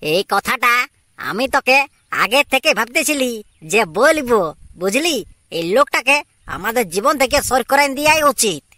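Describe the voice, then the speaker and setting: A man's voice: extremely high pitch, lively intonation, moderate pace, sharp tone, exaggerated voice. A man with a Purulia Bengali accent voicing a cocky, scheming young secret lover in an amateur cartoon, with an insistent, plotting manner.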